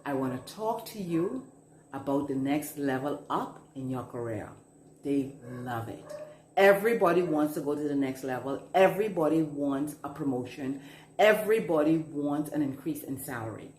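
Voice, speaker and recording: A woman's voice: sits low, darkish tone, roomy sound, slight background noise. A middle-aged woman from the Bahamas with a Bahamian accent, a business consultant giving a chatty talk to camera and repeating words for emphasis. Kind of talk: casual instructional talk to camera.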